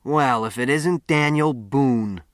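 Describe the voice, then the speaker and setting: A man acts out a cocky small-town American boy in a storybook reading. The voice rises above a low natural register, slangy and mocking, in very dry sound. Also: slow, dry recording, close to an everyday voice. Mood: mocking, curious. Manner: slangy, teasing, challenging.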